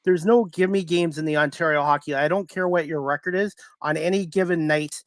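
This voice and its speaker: A man's voice: on the high side, steady tone, a little fast, dry recording, studio quality. A man hosting a sports talk radio show, introducing and chatting with his guest.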